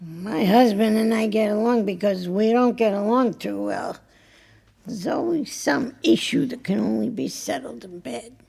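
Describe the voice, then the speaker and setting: Radio play role: blunt, wry older wife, heavy creak, slow halting delivery. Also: female voice, fairly high pitch, dark tone, heavily acted. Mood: wry, worldly. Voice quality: husky, creaky. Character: blunt, worldly married woman who works in a restaurant. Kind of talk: intimate two-person audio drama acting.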